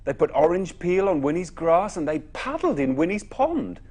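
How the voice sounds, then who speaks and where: A man reading a children's bedtime story expressively, with dramatic pauses and emphatic phrasing. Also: a little high, very animated, darkish tone.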